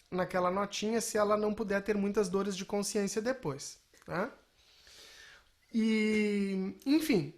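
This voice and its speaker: A woman giving an informal software lesson as a music technology teacher, explaining and often checking in with short tag questions. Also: mid-range voice.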